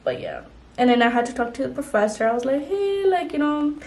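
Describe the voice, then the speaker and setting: A woman's voice: high voice, animated, brisk, a little mellow. A young woman vlogger chatting casually while doing her makeup, rambling with lots of "like" and "you know". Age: young adult. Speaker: beauty vlogger.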